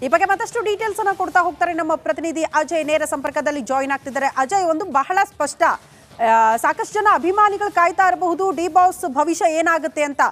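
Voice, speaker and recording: A woman's voice: very high-pitched, brisk, fairly bright tone. A woman anchoring TV news in an emphatic, questioning style. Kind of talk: TV news presenting. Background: music under the voice.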